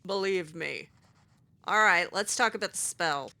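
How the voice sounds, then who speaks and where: A woman's voice: lowish voice, a little slow, gravelly, bright, forceful tone, full character voice. A woman voicing her sarcastic, clever young adventurer character in a game, teasing as she talks.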